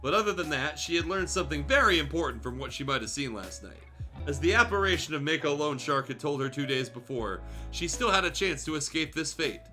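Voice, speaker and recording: Man, streamer, very bright forceful voice, highish pitch, theatrical story reading, irreverent, music behind. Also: expressive, brisk. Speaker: streamer reading fan fiction aloud. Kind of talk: dramatic story reading with joking asides.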